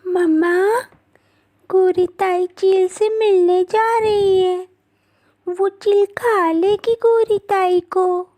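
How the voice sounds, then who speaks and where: A woman voicing a small, innocent, excitable young daughter bird in a cartoon story, childish and wide-eyed. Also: very high-pitched, drawn-out words, full character voice. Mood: fearful. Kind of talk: cartoon story character acting.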